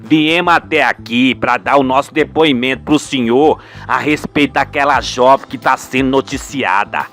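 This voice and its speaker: A man's voice: medium pitch, moderate pace, hardly any pauses, fairly bright tone, voice pushed down, lightly acted. A man voicing an indignant old cheesemaker, folksy and emphatic, in a radio soap opera. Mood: respectful, earnest.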